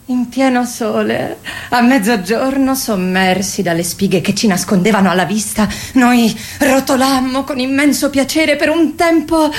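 An actress playing a candid woman confiding in group therapy, halting and emphatic, in a radio theatre style. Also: a little high, lightly acted. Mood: animated, candid.

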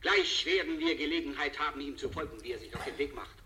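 A sensation-hungry television reporter in a vintage radio play. His voice is forceful, sharp and fairly high. He announces a manhunt with theatrical emphasis and excited menace. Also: quick pace. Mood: excited, dramatic. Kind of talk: live manhunt commentary in 1970s radio drama.

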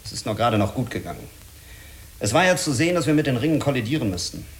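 Male spaceship commander for science fiction radio drama: sober, stern, self-critical, middle-pitched. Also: big pitch swings, fast talker. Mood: reflective, insistent. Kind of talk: German radio drama acting.

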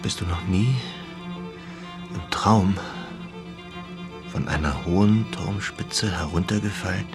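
An actor in a German radio drama playing an ailing, sardonic, dreamy writer with a wry, volatile manner. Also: male voice, low-pitched, slow pace, pauses often, over music. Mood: musing, wry. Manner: wry, volatile, swinging from murmurs to outbursts.

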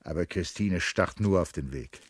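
Deep-voiced male radio play narrator. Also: moderate pace, husky.

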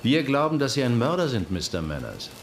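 An actor playing a police detective who questions pointedly and accusingly in a German radio crime drama. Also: male voice, mid-range voice, huge pitch swings, brisk. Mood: firm, accusing. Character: needling, accusing police detective, keeping control. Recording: loud hum and background noise.

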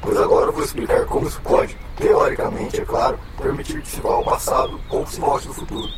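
A man playing a patient scientist with a synthetic voice in an educational radio drama, explaining in a didactic way. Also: fast talker. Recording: very noisy, distant recording.

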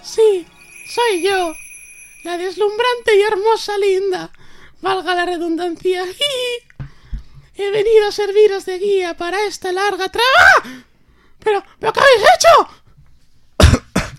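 Super high sparkly good witch, slow talker, totally in love with herself, audio drama. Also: a bit bright, no room sound, faint music behind, heavily acted. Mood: vain, gushing. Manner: self-admiring, theatrical. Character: vain, dazzling, sparkly good witch guide. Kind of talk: comic audio drama acting.

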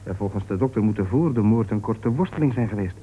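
Actor as an earnest, lowish-voiced police sergeant. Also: male voice, fast talker, natural voice. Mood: dutiful, earnest. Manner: respectful, matter-of-fact. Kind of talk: Dutch radio play acting. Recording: dull, noisy old radio play recording.

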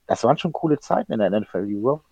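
A man's voice: fairly low pitch, lively intonation, very fast, a little mellow, husky, no echo. A man chats loosely, spinning long anecdotes full of small restarts and fillers. His voice sits in a dry, close space with a muffled, lo-fi quality. It sounds like a home-made podcast recording. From Germany. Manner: chatty storyteller, many fillers and restarts. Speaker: podcast co-host. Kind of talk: casual chat.

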